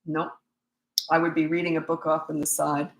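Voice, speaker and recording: A woman in her sixties speaks with a fairly low voice, worn thin by a cold that is making her voice give out. She answers parenting questions in a live stream, blunt and matter-of-fact, often asking rhetorical questions. The sound carries a roomy echo. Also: level tone, moderate pace. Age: 60s. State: has a cold, voice giving out. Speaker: parenting coach.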